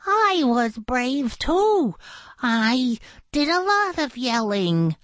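A whiny, boastful little storybook animal. It complains in a raised, stretched-out voice that wobbles up and down, forever wanting credit. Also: female voice, sits high, big pitch swings, drawn-out words, no room sound, pitched above the performer's own voice, exaggerated voice. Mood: aggrieved. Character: whiny, boastful small animal craving attention.

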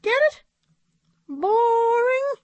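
A woman reading a children's story, voicing a chatty, excitable monkey puppet who tells jokes and teaches about teeth in a squeaky voice. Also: extremely slow, no echo, dull audio, exaggerated voice. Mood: jokey.

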